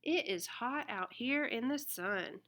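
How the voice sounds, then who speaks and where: A woman reading a children's picture book aloud in an expressive, deliberate way. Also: fairly high pitch. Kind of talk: read-aloud children's storybook narration.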